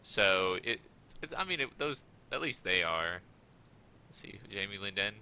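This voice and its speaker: A man's voice: on the low side, very animated, a little slow, sharp tone, a little hiss or hum. A man co-hosting a podcast in casual chat, loosely correcting himself as he goes. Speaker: podcast co-host.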